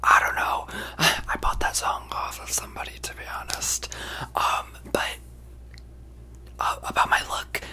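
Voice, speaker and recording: A young man voicing a brash, self-absorbed young female pop star in a breathy, gushing ASMR whisper close to the microphone. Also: measured pace, pushed and bright. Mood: boastful, gushing. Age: young adult. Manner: gushing, exaggerated, boastful, rambling. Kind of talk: ASMR roleplay, celebrity parody.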